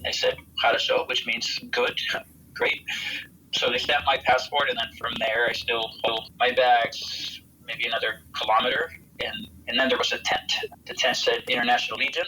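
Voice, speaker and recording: A middle-aged American man from Texas recounting his experience in an interview in a measured, matter-of-fact way. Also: mid-range voice, steady tone, pushed and bright, a little hiss or hum, poor audio. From Texas, United States. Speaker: interviewed military volunteer and veteran.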